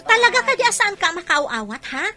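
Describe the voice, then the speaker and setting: A woman's voice: very high-pitched, big pitch swings, a little fast, a loud crowd. A woman playing a scolding, hard market vendor in an audio drama. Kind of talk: Filipino audio drama acting. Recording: loud busy outdoor market noise.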